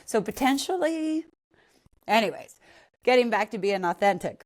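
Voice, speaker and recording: A woman's voice: fairly high pitch, sing-song, normal speed. Chatty middle-aged woman host. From Canada. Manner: conversational, wanders off on tangents, self-correcting. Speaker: podcast host. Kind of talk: unscripted solo podcast monologue.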